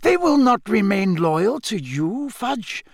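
A man voicing a grave old male wizard in an audiobook, solemn, measured and emphatic, with urgent authority. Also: high voice, sing-song, creaky, no echo, studio quality, pitched above the performer's own voice, heavily acted. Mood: grave, firm. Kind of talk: audiobook character voice.

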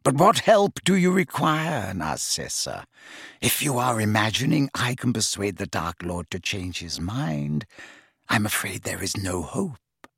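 A man voicing a cold, sneering middle-aged male wizard who speaks low and slowly with menace. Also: sing-song, fairly bright tone, breathy edge, no echo, clean studio sound, exaggerated voice.

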